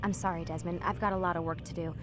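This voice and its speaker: A woman's voice: fairly low pitch, steady tone, rapid-fire, music in the background, close to an everyday voice. A woman playing a guarded, patient but firm scientist in a video game, with a dry manner. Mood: dry, matter-of-fact. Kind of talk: naturalistic video game acting.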